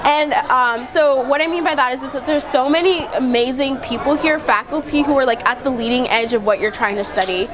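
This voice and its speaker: A woman's voice: sits high, a little fast, few pauses. A young woman, a university student, chatting casually as she answers an interview question. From immigrant to the United States. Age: young adult.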